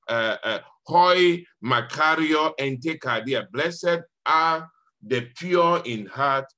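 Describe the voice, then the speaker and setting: A Ghanaian man with a bright, forceful voice at an ordinary male pitch speaks as a priest explaining scripture. His first language is Fanti, and his accent carries through clearly. He pauses and repeats words for emphasis as he talks to a small group over a video call. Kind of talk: informal scripture reflection to a group.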